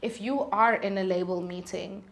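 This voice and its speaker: A woman's voice: medium pitch, fairly even intonation, normal speed, a bit bright. A woman speaking as an interview guest, giving candid, articulate and emphatic advice in a conversational way. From South Africa. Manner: emphatic, articulate, candid, talks in rhetorical questions and examples. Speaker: guest, independent singer-songwriter.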